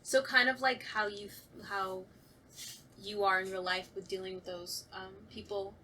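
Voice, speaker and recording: A woman's voice: mid-range voice, level tone, normal speed, pushed and bright. A woman hosting a casual talkback in a playful, teasing way. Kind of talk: casual chat. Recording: distant mic with background noise.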